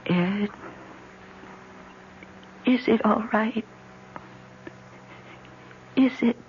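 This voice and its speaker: Lonely intense wife, not young, halting and pleading, old radio drama, muffled n noisy. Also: female voice, on the high side. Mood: hesitant, pleading. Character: emotional, lonely wife who talks to plants, no longer young. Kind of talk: 1970s radio drama acting.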